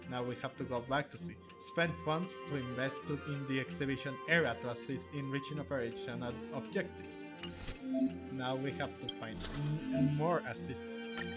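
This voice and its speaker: A man hosting a gaming video, commenting casually and reading game text aloud a bit haltingly. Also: medium pitch. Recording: game music clearly behind the voice. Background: video game music.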